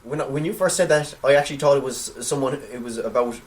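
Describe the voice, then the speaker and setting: A man's voice: mid-range voice, fast. A man co-hosting a casual film chat podcast, hesitant and often repeating words as he forms his point. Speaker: podcast co-host. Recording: voice slightly distant from microphone.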